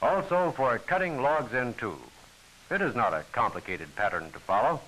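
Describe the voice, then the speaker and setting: A man's voice: medium pitch, very animated, moderate pace, sharp tone, poor audio. A man giving formal, instructional scripted narration for a training film, on an old film soundtrack.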